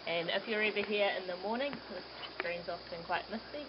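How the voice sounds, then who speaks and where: Kiwi guide telling a story in a canoe, laid back, dull audio, lots of water noise. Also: male voice, high voice, a little fast. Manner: relaxed, chatty, with fillers. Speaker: river guide. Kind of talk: casual storytelling. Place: outdoors in a canoe on a gorge stream.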